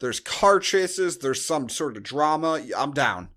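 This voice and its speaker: A man's voice: a little high, very animated, unhurried, fairly bright tone. An American man co-hosting a podcast in casual, rambling, joking conversation. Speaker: podcast co-host. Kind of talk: casual chat, movie review.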